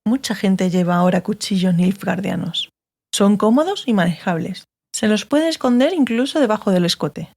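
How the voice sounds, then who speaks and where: An actress playing a stern, sardonic sorceress, curt and authoritative, in a dramatized fantasy audiobook. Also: female voice, mid-range voice, expressive, normal speed, creaky, a little mellow. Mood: dry, assured. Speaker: audiobook actor.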